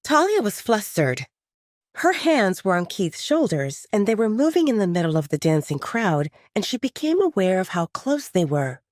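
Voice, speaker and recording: A woman's voice: mid-range voice, big pitch swings, normal speed, a little mellow, no echo, studio quality. A woman reading scripted romantic fiction as an audiobook narrator, telling the story expressively in the third person.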